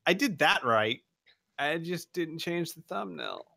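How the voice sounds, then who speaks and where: A man's voice: a little high, lively intonation, unhurried, vocal fry, sharp tone, no room sound, studio quality. A young man hosting and running a tabletop game, chatting in a rambling, self-deprecating way full of restarts and laughs. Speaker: game master and channel host. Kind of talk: casual chat, running a tabletop session.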